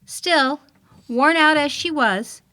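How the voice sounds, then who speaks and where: A woman reads a children's story aloud in a high voice. Her delivery is expressive and clearly scripted narration. The sound is dry, with very little room on it. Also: slow, no echo. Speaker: story reader.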